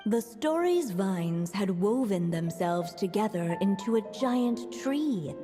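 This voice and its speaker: A woman voicing a storybook narrator in a video game, telling a fable in a measured way. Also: medium pitch, lively intonation. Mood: solemn. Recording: long reverb on the voice. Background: music.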